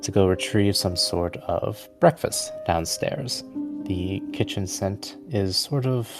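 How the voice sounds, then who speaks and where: Man, deep voice, slow, game master storytelling, soft music behind. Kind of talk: narrating a tabletop fantasy game.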